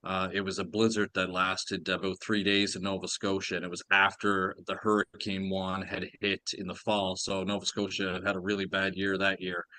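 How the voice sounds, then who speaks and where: Older man, lowish forceful voice, dry sound, casual co-host. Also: monotone, moderate pace, hardly any pauses, no room sound. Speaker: co-host, retired police officer. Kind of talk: casual chat. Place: remote call from home.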